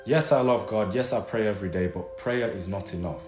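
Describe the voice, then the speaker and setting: A man's voice: fairly low pitch, fairly quick. A man of Jamaican and Turkish descent living in the UK, urgently warning viewers and repeating his pleas. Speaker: vlogger. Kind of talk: earnest direct-to-camera appeal. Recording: roomy sound with loud music under it.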